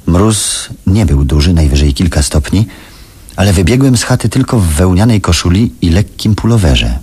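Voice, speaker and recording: Low, slow, hunted survivor. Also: male voice, darkish tone, husky, full character voice. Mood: grim, reflective. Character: hunted man, tense, determined survivor narrating his ordeal. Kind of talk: dramatic first-person radio play narration. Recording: noisy old radio drama recording.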